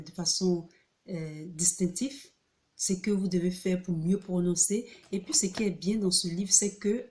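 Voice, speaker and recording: A woman talks through a casual video tutorial at an unhurried, drawn-out pace, her voice sitting a little low. She hesitates often, fills gaps with filler sounds and restarts her sentences. She keeps checking in with her listeners as she goes. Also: a little mellow. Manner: repeats key points, checks in with "ok?". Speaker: video host presenting a pronunciation book. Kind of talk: casual spoken tutorial to camera.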